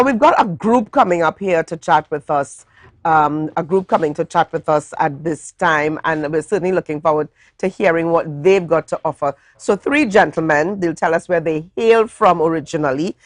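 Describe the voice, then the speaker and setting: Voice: medium pitch, no echo. An easygoing morning show host who laughs often and talks to viewers. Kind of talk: casual interview.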